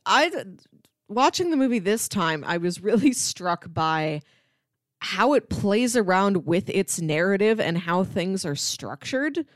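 A woman's voice: mid-range voice, expressive, takes it slowly, no room sound, clean studio sound. A woman hosting a casual film discussion, talking in an enthusiastic, analytical, rambling way full of "like". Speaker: film podcast host.

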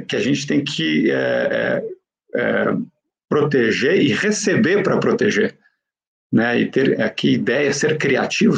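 A man's voice: medium pitch, creaky. A man with a Brazilian Portuguese accent, speaking conversationally as an interview guest and often checking in with short tag questions. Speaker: interview guest, company professional.

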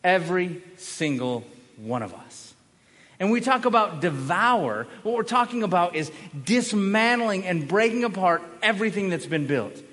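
A male pastor preaching a sermon with emphatic, dramatic swings from raised voice to hushed emphasis, leaning on repeated phrases and rhetorical questions. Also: sits high, sing-song, normal speed. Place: large reverberant indoor church hall. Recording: long reverb of a large hall.

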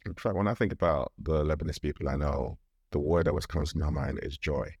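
A man's voice: very deep voice, brisk, darkish tone, breathy edge, no echo, studio quality. A man hosting a podcast, welcoming his guest with enthusiasm. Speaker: podcast host.

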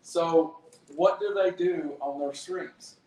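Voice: medium pitch, slow pace, a little mellow. An adult host casually interviewing two children and prompting them with short, leading questions. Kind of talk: casual home-made show chat. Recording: roomy echo, faint background hiss.

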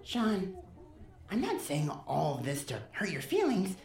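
Woman as an intense kid with wildly swinging pitch. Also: wildly swooping pitch, slow pace, a little mellow, full character voice. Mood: earnest. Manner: earnest, urgent, dramatic. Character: intense, persuasive runaway child captive. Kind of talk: audio drama acting. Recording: roomy, echoing student audio drama.

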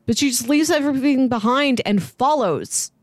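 A woman's voice: high-pitched, normal speed, no room sound, studio quality. A woman co-hosting a casual film podcast, explaining things in an earnest, conversational way. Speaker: podcast co-host.

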